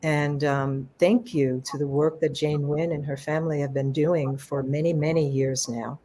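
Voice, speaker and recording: A woman's voice: low voice, steady tone, moderate pace, warm tone, slight background noise. A woman in a casual online conversation, speaking in an enthusiastic, rambling way about a colleague and partner work. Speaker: co-presenter.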